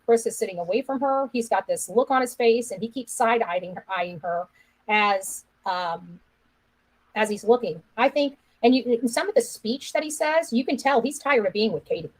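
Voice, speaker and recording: A woman's voice: a little high, lively intonation, moderate pace, low-quality recording. A woman giving opinionated live-stream commentary in an accusatory, insistent manner, repeating phrases for emphasis. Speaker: online commentator.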